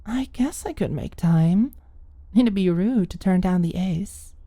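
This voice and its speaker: A woman's voice: lowish voice, expressive, a little slow, dark tone, dry recording, voice pushed up. A woman voicing a proud, prim young woman in an audiobook, defensive yet smitten. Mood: sweet, smitten. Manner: scoffing, earnest, giggly on the phone. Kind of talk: audiobook character dialogue.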